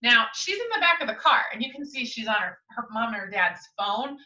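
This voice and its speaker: An American woman, a school teacher, hosting a storytime for young children in an expressive sing-song way, asking them questions as she goes. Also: on the high side, fairly quick. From United States. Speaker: school teacher reading to her young pupils. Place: indoors at home. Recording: roomy echo, distant mic, slightly dull sound.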